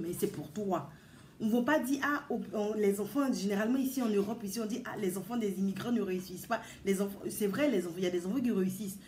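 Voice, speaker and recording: A woman's voice: mid-range voice, warm tone, slight background noise. Earnest woman in an echoey room. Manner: earnest, emphatic, repeats words and addresses listeners directly. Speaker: vlogger giving advice to mothers. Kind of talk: casual advice talk to viewers.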